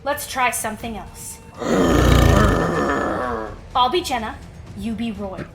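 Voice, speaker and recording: A woman playing a defiant, quick-witted young woman in an audio drama, emphatic and taunting. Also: fairly high pitch, very noisy. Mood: bubbly, goading.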